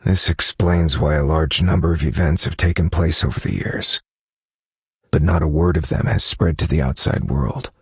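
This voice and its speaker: A brooding detective-novelist in a mystery game speaks in an extremely low, husky voice. He is analytical and measured, murmuring thoughtfully to himself. The sound is dry and close, with a gravelly rasp. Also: dry recording, full character voice. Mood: grim realization. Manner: measured, contemplative, musing to himself with murmured hums. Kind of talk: scripted game character monologue.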